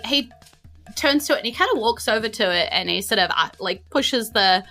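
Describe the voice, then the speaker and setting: A woman's voice: fairly low pitch, sharp tone. A woman with a New Zealand accent narrating a tabletop game as dungeon master in a chatty, hesitant, improvised way.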